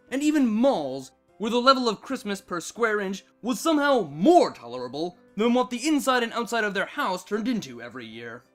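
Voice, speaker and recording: A man narrating a fan fiction story in an expressive, scripted reading style. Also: high voice.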